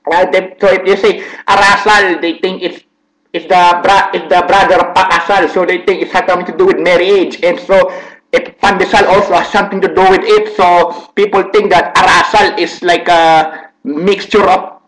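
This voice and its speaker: A man's voice: high voice, steady tone, normal speed, fairly bright tone, rough recording. A man doing a thick Filipino accent. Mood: rambling. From Philippines. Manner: rambling, repeats words, wordplay on mishearings. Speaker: comedic vlogger. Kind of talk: rambling comedic monologue.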